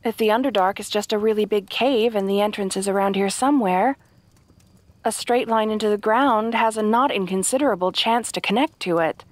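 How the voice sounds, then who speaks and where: A woman's voice: medium pitch, fairly quick, dry recording, natural voice. A woman playing a kind, naive young princess in a fantasy audio roleplay, speaking politely and hesitantly to the listener. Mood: startled, puzzled. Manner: hesitant, polite, rambling earnest explanations.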